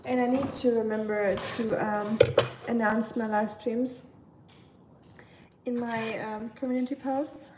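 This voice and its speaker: A woman's voice: on the high side, fairly even intonation, slow, background noise. A young woman with a German accent, chatting casually on a livestream while cooking in her home kitchen, with lots of ums. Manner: chatty, rambling, many ums, reads chat aloud. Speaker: livestream host. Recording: distant mic with kitchen clatter. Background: dishes, pots and pans clinking.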